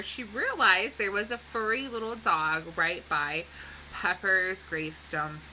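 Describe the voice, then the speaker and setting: A woman's voice: mid-range voice, bright, forceful tone, a little hiss or hum, rough recording. A woman reading a children's book aloud expressively, with dramatic emphasis and a teacherly way of explaining. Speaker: storybook reader.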